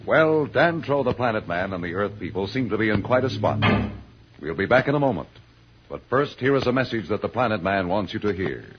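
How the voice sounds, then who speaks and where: A man's voice: on the low side, big pitch swings, fairly quick, heavy background noise. A man announcing a 1950s radio serial in a dramatic, promotional, scripted style. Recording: dull lo-fi old radio recording.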